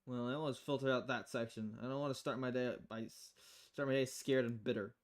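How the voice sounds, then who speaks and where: A man's voice: mid-range voice, fairly even intonation, fairly quick, pitched below the performer's own voice, lightly acted. A man voicing a level-headed, wry bartender in a visual novel, speaking in a restrained, polite way. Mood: dry, calm.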